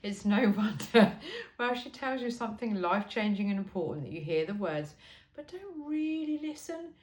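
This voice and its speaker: A woman's voice: mid-range voice, lively intonation, moderate pace. A woman reading a humorous self-help book aloud informally, with a wry, conversational, emphatic delivery. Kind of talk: informal book read-aloud with chatty asides. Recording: roomy, echoey sound.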